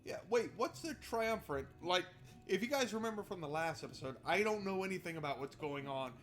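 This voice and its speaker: A man with a somewhat high voice plays through a story game, reading the narration aloud. He breaks off for sarcastic, exasperated commentary. There is some background noise in the recording. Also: lively intonation, brisk, fairly bright tone. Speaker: video game streamer. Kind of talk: let's play commentary with read-aloud narration.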